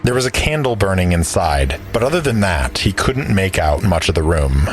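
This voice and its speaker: A man's voice: sits low, sing-song, normal speed, slight background noise. A man narrating an audio drama as a storyteller, reading scripted prose. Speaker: narrator and player.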